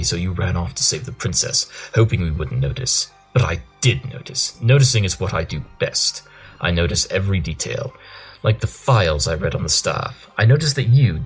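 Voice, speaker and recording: A man's voice: sits low, rough recording. A man playing a pompous pony detective in a cartoon audio drama, lecturing through his deductions. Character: brilliant, smug male pony detective. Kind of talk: scripted audio drama acting.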